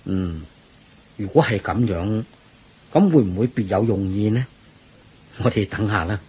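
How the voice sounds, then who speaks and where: An actor playing a courteous, attentive temple organiser in a radio drama, speaking politely and deliberately. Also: male voice, lowish voice, expressive, mellow, breathy edge, exaggerated voice. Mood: puzzled. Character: courteous, attentive man helping host a temple gathering. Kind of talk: Cantonese radio drama acting.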